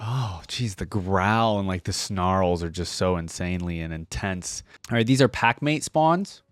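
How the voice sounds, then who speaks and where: A man's voice: deep voice, expressive, unhurried, no room sound, clean studio sound. A man giving casual reaction commentary as a video host, thinking aloud informally.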